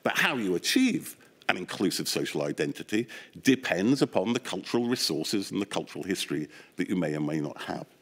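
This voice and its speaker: A man giving expert testimony at a public inquiry, explaining at length in an animated, discursive way with wry asides. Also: sits low, brisk, husky. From England. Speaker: expert witness, academic psychologist. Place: public inquiry hearing room.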